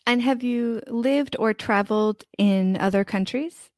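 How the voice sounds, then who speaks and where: A woman's voice: fairly high pitch, unhurried, a little mellow, no echo. Encouraging woman host, dry sound. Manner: encouraging, frequent "mm-hmm" backchannels. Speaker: podcast host. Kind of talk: casual interview chat.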